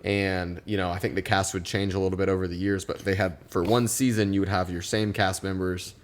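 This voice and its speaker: A man's voice: deep voice, level tone, fairly quick. A young man co-hosting a podcast, chatting casually in a rambling, laughing way. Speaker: podcast co-host. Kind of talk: casual chat. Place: podcast studio.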